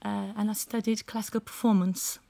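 A woman's voice: medium pitch, little pitch movement, vocal fry, dark tone. Hesitant Turkish-accented woman. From Turkey. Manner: hesitant, many pauses and fillers, laughs easily. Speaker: guest singer. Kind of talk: radio interview.

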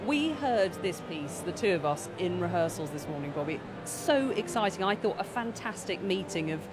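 A woman's voice: on the low side, expressive, moderate pace. A woman presenting live radio from a concert hall, chatty and enthusiastic. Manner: enthusiastic, conversational. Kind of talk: live radio broadcast chat. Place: concert hall beside the orchestra. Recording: live broadcast with orchestra music behind.

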